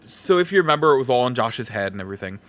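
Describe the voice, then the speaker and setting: Casting: male podcast host for a solo film review, informal and chatty, medium-pitched voice, prone to rambling asides. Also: lively intonation, racing, a bit bright. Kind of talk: casual solo movie review talk.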